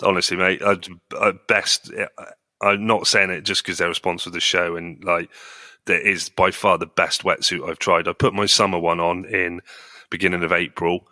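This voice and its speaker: A man's voice: sits low, little pitch movement, normal speed, bright, forceful tone, no room sound, studio quality. A man co-hosting a podcast, chatting in an informal, blunt way. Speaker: podcast co-host. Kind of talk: casual chat.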